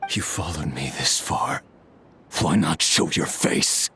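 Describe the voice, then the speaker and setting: An actor playing a cool, menacing, commanding man in a video game, with a gravelly, deliberate delivery. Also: rumbling low, lively intonation, sharp tone, soft background music, exaggerated voice. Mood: coolly menacing. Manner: slow, deliberate.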